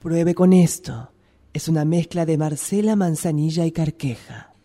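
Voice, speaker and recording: A woman's voice: sits low, a little slow, mellow. An actress playing a soothing woman who offers herbal remedies in a radio drama.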